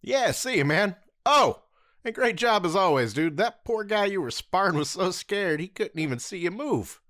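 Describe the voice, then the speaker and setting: A man plays a young martial arts teacher with a lively, bouncing voice, easygoing and teasing, full of slang. It sounds recorded close up in a dry, dead space, like an audio drama. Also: high-pitched, normal speed, no echo. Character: young male martial arts instructor, laid-back hero.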